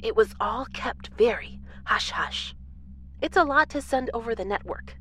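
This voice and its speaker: A woman's voice: a little high, animated, moderate pace, fairly bright tone, a little hiss or hum. A woman playing an eager, orderly moon base administrator in an audio drama. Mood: eager, helpful.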